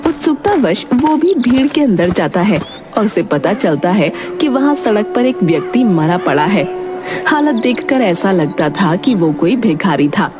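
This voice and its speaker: A woman reading scripted narration for a children's moral story in an expressive storytelling way. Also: medium pitch.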